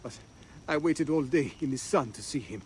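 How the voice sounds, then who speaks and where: A man's voice: medium pitch, lively intonation, moderate pace, darkish tone, a little hiss or hum, lightly acted. An actor playing an aggrieved male townsman seeking justice in a video game, earnest and grumbling.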